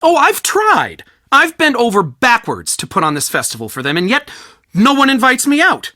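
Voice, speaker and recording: A man's voice: very high voice, huge pitch swings, moderate pace, bright, forceful tone, no room sound, studio quality, heavily acted. A man playing an eccentric, anxious, bookish museum curator in a video game, with a theatrical, wordy and wryly dramatic delivery. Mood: wounded, loud. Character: eccentric, bookish, anxious male culture center curator Soren.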